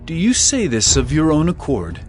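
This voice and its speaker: An actor playing a calm, steadfast holy teacher on trial, speaking in a solemn, measured scripture-drama manner. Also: male voice, fairly high pitch, soft background music.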